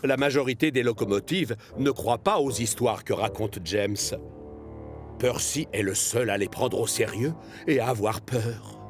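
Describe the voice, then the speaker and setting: Expressive man narrating over music. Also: medium pitch. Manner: expressive, addressing children directly. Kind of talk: scripted storybook narration for children's cartoon. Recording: music behind the voice.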